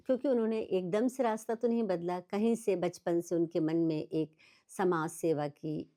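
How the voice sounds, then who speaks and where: A woman's voice: fairly low pitch, warm tone. A woman from India hosting a podcast, interviewing her guest in a conversational style. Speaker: podcast host.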